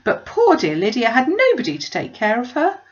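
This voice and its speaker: A woman's voice: on the high side, wildly swooping pitch, moderate pace, dull audio, voice pushed up. A woman voicing a fretful, self-pitying middle-aged mother in a classic novel, lamenting with plaintive over-emphasis. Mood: wailing, aggrieved. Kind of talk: dramatised audiobook reading of a classic novel.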